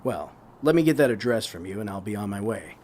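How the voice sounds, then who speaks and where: A man's voice: on the low side, animated, quick pace, a little mellow, a little hiss or hum, close to an everyday voice. A man playing a polite, businesslike investigator asking questions in a naturalistic audio drama. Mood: polite, probing.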